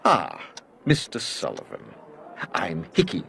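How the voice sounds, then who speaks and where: Fussy hotel manager, animated delivery. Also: male voice, low-pitched, measured pace, creaky, background noise, exaggerated voice. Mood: formal, anxious. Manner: stammering, formal, officious. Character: fussy, flustered male hotel manager, formal and image-conscious. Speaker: actor in a radio detective drama. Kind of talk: detective radio drama acting.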